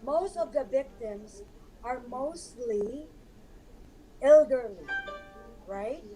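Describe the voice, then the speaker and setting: A hoarse woman, a guest explaining her experience earnestly in casual chat. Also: high voice, lively intonation, extremely slow, over loud music, poor audio.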